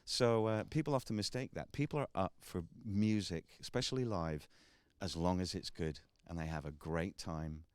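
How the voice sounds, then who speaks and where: A man's voice: sits low, normal speed, few pauses, clear, resonant tone, dry recording. A sixty-year-old man, a big band director and trumpeter, chatting casually in an interview with many ums and restarts. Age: 60s. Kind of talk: casual radio interview chat.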